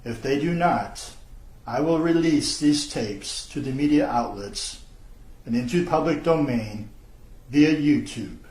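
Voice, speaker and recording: A man reads out a formal statement in a mid-range voice. His delivery is solemn and measured, with slow, deliberate pacing. The room around him echoes clearly. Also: mid-range voice, darkish tone. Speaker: self-described whistleblower. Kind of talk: scripted video statement.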